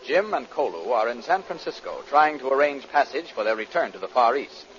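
Old timey radio announcer guy, punchy and declamatory, sharp voice, dull noisy recording. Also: mid-range voice, very animated, a little fast. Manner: emphatic, declamatory. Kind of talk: 1940s radio announcing, scripted narration. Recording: muffled, noisy vintage radio broadcast.

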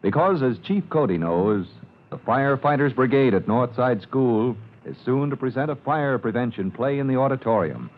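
Animated male old-time radio announcer. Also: mid-range voice, normal speed. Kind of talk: 1940s-style radio announcing, scripted narration. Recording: thin, narrow vintage radio recording.

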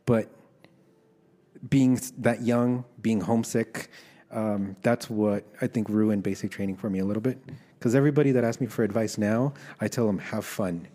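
A middle-aged American man speaks in a fairly low voice with a soft, dark tone. He is an interview guest, recounting personal stories in a candid, conversational style. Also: steady tone, moderate pace. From Los Angeles, United States. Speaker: guest, army veteran.

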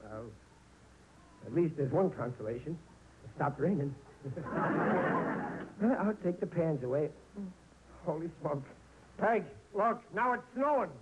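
Casting: blustering American working-class dad, animated high voice, stammering, old radio sitcom. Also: male voice, a little slow, pauses often. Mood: exasperated. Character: blustering, scheming, hapless working-class husband and father. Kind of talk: 1940s radio comedy acting. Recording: noisy old radio broadcast recording.

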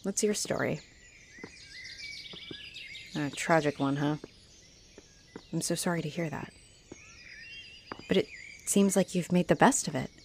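A woman's voice: low voice, unhurried, long pauses. A woman playing a friendly, teasing, flirty female halfling orc adventurer in a roleplay audio addressed to the listener. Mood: warm, earnest. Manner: teasing, chuckling, coy. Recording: noticeable noise behind the voice.

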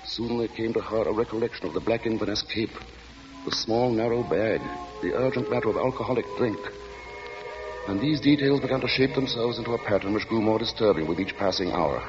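An actor playing the narrator of a radio suspense tale, telling it in a measured, ominous, literary way. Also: male voice, on the low side, over music, lightly acted. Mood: grave, ominous. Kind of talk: old-time radio drama narration. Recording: dull, noisy, reverberant old radio recording.

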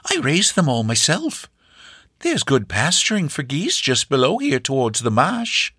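A brisk, hospitable old country grandmother, as a male storyteller puts her on, fussing in a folksy rural way. Her voice sits well above a man's natural register and swings up and down. The recording is close and dry. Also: fairly high pitch, wildly swooping pitch, pushed and bright, slightly rough, dry recording, pitched above the performer's own voice, exaggerated voice. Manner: folksy rural scolding and offering.

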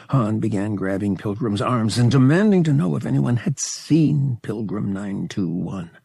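Husky, low-voiced male audiobook narrator. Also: darkish tone, dry recording. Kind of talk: dramatic scripted fiction narration.

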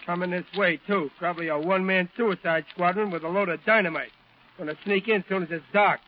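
A man's voice: high-pitched, steady tone, fast talker, lightly acted. An actor playing a brash, wisecracking marine with a New York accent and slangy tough-guy talk in a 1940s radio drama. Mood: cocky, suspicious. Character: brash, wisecracking Brooklyn-type marine cabbie. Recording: muffled, noisy 1940s radio recording.

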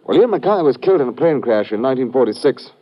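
British police inspector: methodical, courteous, animated intonation, vintage radio play, dull lo-fi sound. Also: male voice, medium pitch, slightly rough. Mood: recalling details. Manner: measured, hesitant, thoughtful. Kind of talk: old-time radio drama acting.

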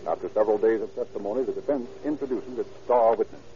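A man playing a sober narrator of a crime docudrama, giving measured, reportorial scripted narration in a vintage radio style. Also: fairly low pitch, dark tone, slight background noise, lightly acted. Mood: solemn. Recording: dull old radio recording, music underneath.

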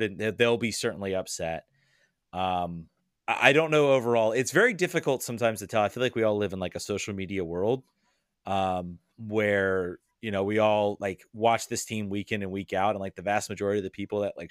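Podcast guest, male, low-pitched, dry close mic; loose rambling fan talk with frequent restarts. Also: dry recording. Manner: rambling, many restarts and fillers. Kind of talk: casual podcast chat.

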